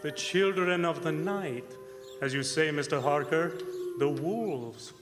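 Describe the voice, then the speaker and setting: A man acting as a courteous, sinister vampire nobleman in a stage radio drama, speaking formally and with measured courtesy. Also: a little high, lively intonation, music in the background. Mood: sinister hospitality. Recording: noisy live hall recording, long reverb.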